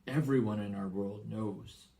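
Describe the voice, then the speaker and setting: Man playing a big blustering gruff giant. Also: lowish voice, measured pace, warm tone. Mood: anxious, hesitant. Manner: rustic, blustering exclamations and hesitant asides. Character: big gruff excitable kindly male giant.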